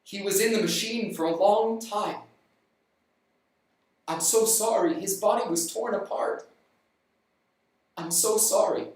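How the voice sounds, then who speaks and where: A storyteller voicing a nervous, solemn man from a factory who speaks haltingly. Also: medium pitch, a little mellow, natural voice. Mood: sorrowful. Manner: hesitant, halting. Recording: roomy, distant mic.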